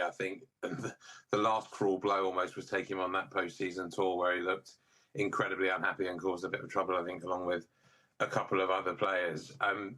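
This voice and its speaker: A man speaking as a football pundit in a broadcast panel discussion, measured and analytical. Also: low-pitched, bright, forceful tone, rough recording.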